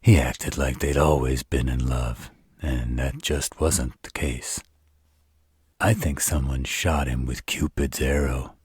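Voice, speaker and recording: A man playing an arrogant, flirtatious vampire in a roleplay audio drama, with a husky voice and a smug, taunting delivery. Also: steady tone, darkish tone, no room sound, clean studio sound, heavily acted. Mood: wry, reflective. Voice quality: very deep, husky, rough. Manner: smug, taunting, slow drawl. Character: arrogant, teasing, flirtatious adult male vampire. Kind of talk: roleplay audio drama monologue addressed to the listener.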